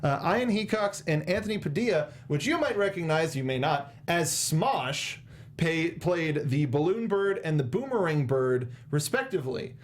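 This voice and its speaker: A man's voice: on the high side, lively intonation, moderate pace. A young man co-hosting a casual film review chat, playfully sarcastic and mock-dramatic, close on a studio microphone. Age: young adult. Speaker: talk show co-host. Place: small indoor studio. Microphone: close desk microphone with foam windscreen, headset monitoring.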